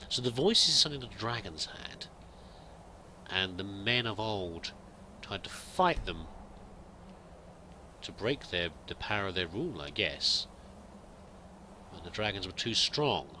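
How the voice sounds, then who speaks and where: Bright-voiced man musing through a game. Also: on the low side, big pitch swings, measured pace, pauses often, a little hiss or hum. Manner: muses aloud, hesitant, many restarts. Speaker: let's play gamer roleplaying a pilgrim. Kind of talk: in-character gameplay commentary.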